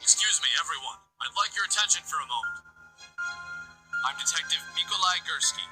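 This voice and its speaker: An actor playing a sharp, commanding male police detective in a visual novel game. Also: a little high, expressive, a little fast, lightly acted, rough recording. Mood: composed, authoritative. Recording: loud music behind the voice. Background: game music.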